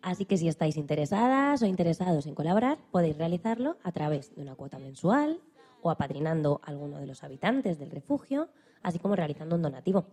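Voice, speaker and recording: A woman's voice: low voice, sing-song, quick pace, mellow, slightly rough. A woman co-presenting a radio programme from a script.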